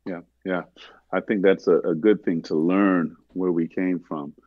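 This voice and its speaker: A man's voice: low-pitched, fairly even intonation, measured pace, no echo, narrow, tinny audio. A man hosting a church panel discussion, earnest, asking long winding questions. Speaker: host.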